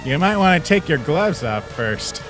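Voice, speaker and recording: A man playing a laid-back, wisecracking skeleton big brother in an animated comic dub, with a casual, reassuring manner. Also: fairly high pitch, moderate pace, loud music behind the voice. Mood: easygoing, encouraging.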